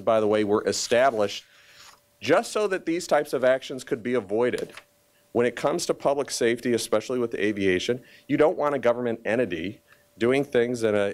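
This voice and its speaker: A man speaking as a county official at a press conference, deliberate and emphatic, pausing often in a formal statement. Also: medium pitch.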